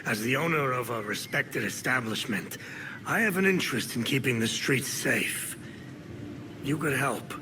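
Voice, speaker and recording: An actor playing a suave, ingratiating, wry bar owner with a shady streak in a video game. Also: male voice, medium pitch, animated, normal speed, close to an everyday voice. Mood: sly, ingratiating. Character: smooth, sly male bar owner, ex-criminal. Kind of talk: conversational video game acting. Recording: clear background noise.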